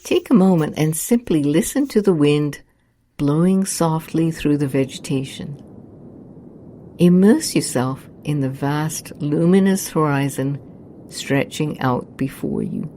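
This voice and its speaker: A woman narrating a scripted guided meditation in a soothing, hushed, unhurried manner. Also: low-pitched, slight background noise.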